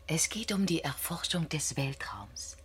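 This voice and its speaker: An ambitious young scientist bares her thoughts in a science fiction radio play. Her voice is low and husky, and she answers candidly, with halting introspection. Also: very animated, full character voice. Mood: dutiful, factual. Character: ambitious young woman astronomer under interrogation, candid.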